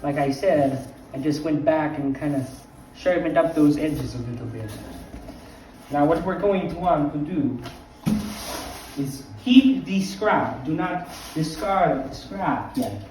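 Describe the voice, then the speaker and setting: A man's voice: a little high, takes it slowly, darkish tone, recorded from a distance, a little hiss or hum. A man playing a rambling old vampire count who guides viewers through a craft project and keeps drifting into anecdotes. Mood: instructive. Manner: digressive, wanders into anecdotes. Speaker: craft tutorial host in character. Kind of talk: in-character crafting tutorial. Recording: very echoey room, mic from afar. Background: music playing.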